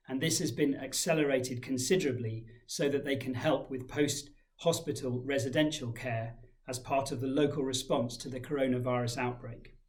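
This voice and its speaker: A man in his forties, a head teacher giving a formal school assembly address, measured and reading from a folder in a small room. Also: mid-range voice. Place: small indoor room with framed pictures.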